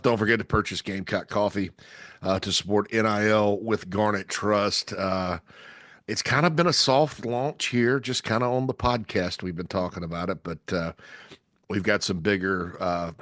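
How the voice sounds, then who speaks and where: A man's voice: lowish voice, fairly bright tone, no room sound, clean studio sound. A man hosting a casual solo podcast. He, is stuffy from a cold, and rambles with frequent restarts and fillers. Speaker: podcast host.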